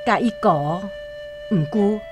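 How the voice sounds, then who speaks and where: A woman's voice: medium pitch, takes it slowly. A woman with a Taiwanese accent narrating a scripted literary radio story expressively. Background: soft plucked-string music.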